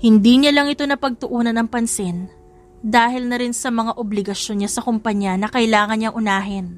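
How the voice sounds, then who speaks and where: Female audiobook narrator, fairly high voice, dramatic scripted storytelling, music underneath. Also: fairly even intonation, a little fast.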